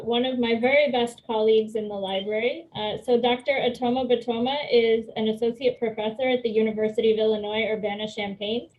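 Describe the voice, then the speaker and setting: A woman's voice: a little high, muffled recording. Gracious woman hosting a video call. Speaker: event host. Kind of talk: hosting a virtual meeting.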